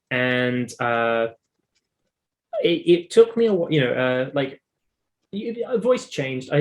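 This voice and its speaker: British guy chatting in an interview, explains a lot, emphatic, bit of vocal fry. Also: mid-range voice. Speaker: interview guest, singer and vocal coach. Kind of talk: casual interview chat, telling own story.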